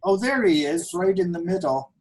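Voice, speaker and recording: Husky man hosting, halting and teasing. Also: high voice, lively intonation, low-quality recording. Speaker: co-host. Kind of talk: casual, joking hosting. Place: indoors, on a video call.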